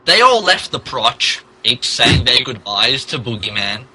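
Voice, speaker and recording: A man's voice: mid-range voice, big pitch swings, a little slow, rough recording. A man narrating a fan fiction story as a mock-dramatic podcast reading, amused, theatrical and emphatic.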